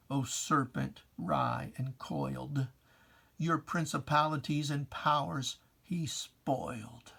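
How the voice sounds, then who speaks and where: A person giving a dramatic, solemn reading of religious verse, declaiming emphatically with deliberate pauses and archaic preacherly diction. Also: medium pitch, creaky, a bit bright. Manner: archaic preacherly diction, addressing a foe directly.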